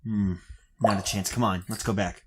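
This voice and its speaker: An actor playing an anxious, protective hero in an old-time radio thriller, earnest and hesitant. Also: male voice, fairly low pitch, fairly even intonation, normal speed, background noise, lightly acted. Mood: wary. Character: anxious, protective young male hero trapped with his sweetheart. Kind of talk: 1930s-style radio thriller acting.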